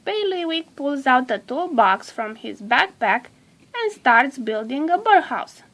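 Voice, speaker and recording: A woman narrating a children's storybook aloud in a deliberate way, drawing out some words. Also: high-pitched, very animated, fairly bright tone.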